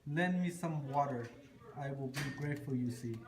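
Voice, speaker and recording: A man's voice: mid-range voice, moderate pace, crowd noise, lightly acted. A man playing a wily, freeloading traveler who speaks in rhyme in an amateur puppet show. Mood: bargaining. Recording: roomy live show with audience noise.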